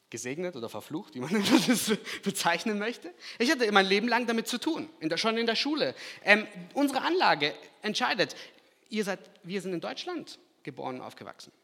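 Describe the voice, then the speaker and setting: A man from Kazakhstan preaching a sermon, swinging from loud emphatic lines to quiet, hushed asides. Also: sits high, very animated, moderate pace. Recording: long hall reverb.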